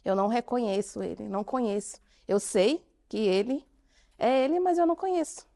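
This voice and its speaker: A woman with a Brazilian Portuguese accent giving an interactive conference talk, questioning the audience and speaking with emphatic pauses. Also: fairly high pitch, creaky, dark tone.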